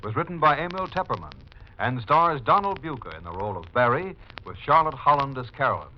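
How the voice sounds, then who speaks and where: A man's voice: medium pitch, big pitch swings, fairly quick, slight background noise. A man announcing a mystery drama in 1940s radio style. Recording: muffled 1940s radio recording with organ music.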